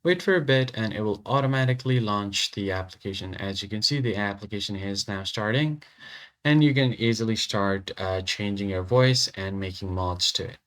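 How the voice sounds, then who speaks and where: A man narrating a step-by-step software tutorial in an informal, instructional way. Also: on the low side, normal speed. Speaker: tutorial host.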